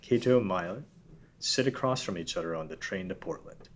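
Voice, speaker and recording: A man reading the stage directions and narration of a script at a table read in a steady, matter-of-fact way. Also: low-pitched, normal speed.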